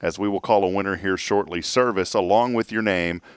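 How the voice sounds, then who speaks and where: A man's voice: low-pitched, brisk, smooth. A man hosting a morning radio show in casual, jokey, upbeat banter.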